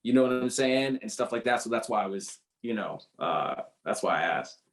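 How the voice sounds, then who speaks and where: A man's voice: on the low side, lively intonation, normal speed. A man from the Caribbean, an actor, speaking earnestly and casually in a discussion, often checking that he is understood. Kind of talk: casual Q&A comment.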